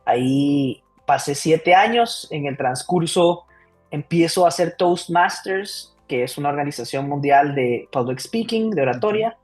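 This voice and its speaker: A man's voice: a little high, animated, a little slow, faint music behind. Chatty comedian man in his thirties. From Costa Rica. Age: 30s. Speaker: comedian guest. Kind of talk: casual interview storytelling.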